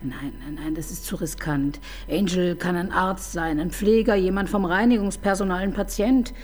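A woman's voice: fairly low pitch, steady tone, normal speed, darkish tone, natural voice. An actress in her fifties playing a dry, sardonic woman police chief inspector in a radio crime drama. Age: 50s. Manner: dry, sarcastic.

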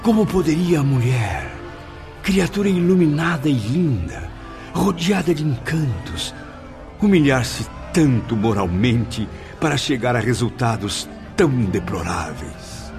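An awed spirit who narrates his journey in a radio drama, speaking with emotive, exclamatory feeling. His pitch swings widely. Music plays clearly beneath his voice. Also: very animated, measured pace, a little mellow. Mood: anguished. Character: awed male spirit visitor recounting. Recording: music bed under voice.

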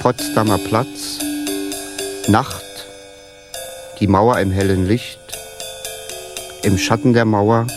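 A man narrating a scene in a German radio play in sparse, deliberate phrases. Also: fairly low pitch, steady tone. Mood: grave. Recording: studio radio play with music behind.

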